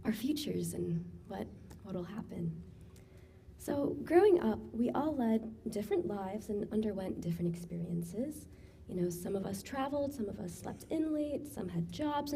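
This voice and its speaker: A young woman with a raspy voice giving an earnest, encouraging graduation speech as class valedictorian. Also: mid-range voice, warm tone, a little hiss or hum. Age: young adult. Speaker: valedictorian student speaker.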